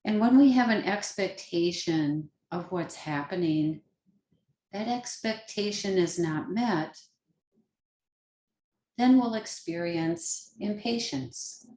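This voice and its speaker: A woman leading a guided meditation as a teacher, speaking gently in short, unhurried phrases with pauses between them. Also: mid-range voice, lively intonation, gravelly, a little hiss or hum. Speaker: yoga nidra meditation teacher. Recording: roomy, echoing sound.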